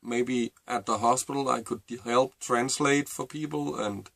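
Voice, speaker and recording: A man's voice: mid-range voice, gravelly, fairly bright tone, dry recording. Reflective Danish-accented man, dry sound. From Denmark. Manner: halting and reflective, with many fillers and restarts. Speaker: vlogger. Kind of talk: personal storytelling to camera.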